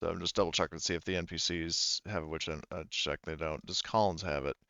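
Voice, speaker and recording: A man working as game master in a tabletop role-playing game, casually narrating and thinking aloud haltingly through the rules. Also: low-pitched, steady tone, vocal fry, pushed and bright, clean tone, no room sound, lo-fi sound.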